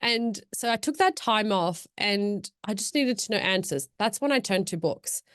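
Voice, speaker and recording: A woman's voice: mid-range voice, animated, moderate pace, clear, resonant tone, no echo, clean studio sound. A young woman from Australia, born in Pakistan to Afghan parents, talking as a podcast guest about her faith and reading, thoughtful and rambling, with many fillers. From Afghan, born in Pakistan, raised in Australia. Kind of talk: casual reflective chat.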